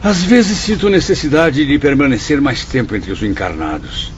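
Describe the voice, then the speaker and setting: A man's voice: fairly high pitch, animated, moderate pace, a little hiss or hum, lightly acted. Man acting a solemn repentant spirit, muffled recording. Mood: earnest, polite. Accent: Brazilian. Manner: declamatory, reverent, formal. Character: Camilo, earnest repentant male spirit narrating his afterlife. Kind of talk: solemn Brazilian radio drama narration. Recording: dull lo-fi audio over faint music.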